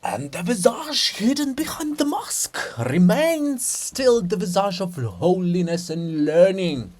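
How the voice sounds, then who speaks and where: A reader voicing a grandiose, ecstatic holy male poet, declaiming in a drawn-out, rhapsodic way in a stage drama. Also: mid-range voice, sing-song, a little mellow.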